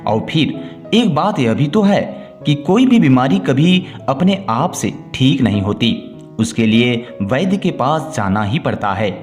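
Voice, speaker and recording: A man's voice: medium pitch, big pitch swings, measured pace, a little mellow. A man voicing an aggrieved, suspicious old merchant in a folk tale, brooding indignantly over his household. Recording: music bed under the voice.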